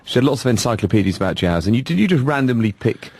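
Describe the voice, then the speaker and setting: A man's voice: mid-range voice, very animated, fast talker, a little mellow, husky, no room sound. A man interviewing a guest on the radio, asking probing questions. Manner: probing questions, brief backchannels.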